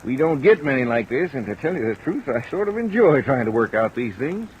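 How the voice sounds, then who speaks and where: A man's voice: medium pitch, big pitch swings, brisk, dull audio, close to an everyday voice. An actor playing a folksy, easygoing small-town Western lawman, rustic and plain-spoken, in an old-time radio drama. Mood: thoughtful, affable. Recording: old radio recording under light rain.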